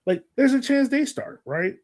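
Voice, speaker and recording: A man's voice: extremely high pitch, expressive, normal speed, darkish tone. A man co-hosting a sports podcast in casual fan chat, talking emphatically and repeating phrases to drive points home.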